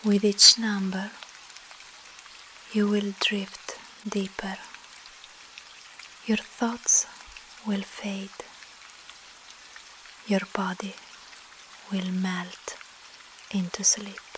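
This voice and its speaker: A woman with a Russian accent softly whispers a soothing ASMR sleep hypnosis, in short phrases with long pauses, guiding the listener as a breathy hypnotist. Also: medium pitch, slight background noise, low-quality recording. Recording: steady rain sound behind voice. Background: rain.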